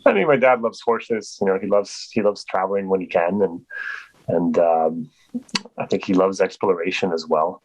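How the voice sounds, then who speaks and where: A man's voice: low-pitched, normal speed, a little hiss or hum, rough recording. A Polish-born man answering interview questions in a rambling, casual way with many restarts. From Poland. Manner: rambling, full of restarts and fillers. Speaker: guest.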